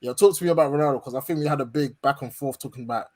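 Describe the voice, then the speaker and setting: A man's voice: medium pitch, rapid-fire. Laid-back man hosting fan chat. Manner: relaxed slangy banter, many fillers. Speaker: host of a football fan stream. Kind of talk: casual live-stream chat.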